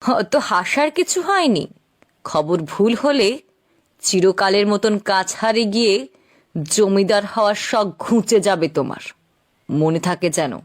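A woman's voice: mid-range voice, animated, measured pace, pauses often, close to an everyday voice. A woman voicing a bossy, haughty landlord's wife in a cartoon folk tale. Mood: scornful, bossy.

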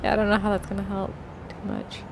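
A woman's voice: medium pitch, flat delivery, fast talker, vocal fry, background noise. A woman co-hosting a reaction video, commenting casually on what she watches. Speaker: reaction show co-host.

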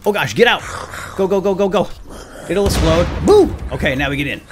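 A man's voice: high-pitched, expressive, a little fast, music in the background. A man hosting a let's play, commentating playfully and exclaiming often as he talks to himself and to viewers. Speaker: gaming video host.

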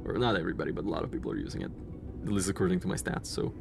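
A man's voice: low voice, sing-song, very fast, vocal fry. Rambling young man with a slavic accent. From Czech Republic or Slovakia. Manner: rambling, self-interrupting with fillers and restarts. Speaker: game streamer. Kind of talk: casual gameplay commentary. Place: home room in front of a gaming chair. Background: faint game music.

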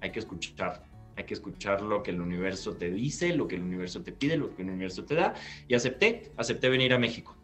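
A man, an actor recounting his career in an interview over a video call from a bright living room. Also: on the low side, fast talker, soft background music. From Peru. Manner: animated, gestures while recounting, restarts phrases. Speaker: guest actor. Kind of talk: storytelling interview answer. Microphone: laptop webcam on video call.

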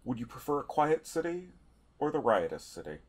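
A man playing a tabletop role-playing game, speaking in a measured, wordy, dry way as he improvises dialogue. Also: mid-range voice, big pitch swings. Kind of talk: casual game chat and improvised in-character dialogue.

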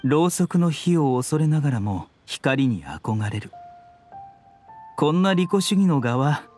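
An actor playing a serene, idealistic young male physician in a game, speaking in polite, measured, philosophical phrases. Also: on the high side, sing-song, a little mellow, soft background music. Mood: gently wry. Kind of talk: scripted game dialogue.

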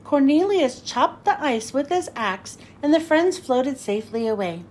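A woman reading a children's storybook aloud in an expressive, animated, emphatic way. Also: sits high, unhurried. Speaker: children's book reader.

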